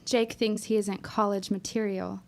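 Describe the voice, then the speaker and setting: A woman's voice: mid-range voice, little pitch movement, moderate pace, creaky, darkish tone, close to an everyday voice. A woman playing an earnest, determined young woman of the 1910s, speaking thoughtfully in a period audio drama. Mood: wistful.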